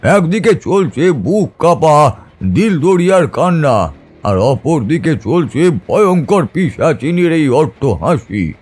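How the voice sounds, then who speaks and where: A male narrator tells a horror tale with theatrical suspense. His voice sits fairly high and swings widely in pitch, with a faint music bed underneath. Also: sing-song, slow pace, darkish tone, breathy edge. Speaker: story narrator. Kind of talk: dramatic scripted horror narration.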